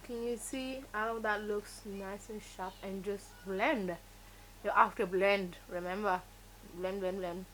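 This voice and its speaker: A woman's voice: medium pitch, fairly even intonation, slow, fairly bright tone, a little hiss or hum, low-quality recording. Woman teaching makeup. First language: Yoruba. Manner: instructive, emphatic, repeats key words. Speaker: makeup tutorial host. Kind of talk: step-by-step beauty tutorial.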